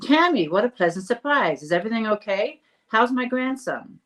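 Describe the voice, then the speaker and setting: A woman's voice: mid-range voice, animated, brisk, natural voice. A woman reader acts out an older British mother from a storybook, loving but fretful. She is chatty and eager, forever questioning her grown child. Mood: pleasantly surprised.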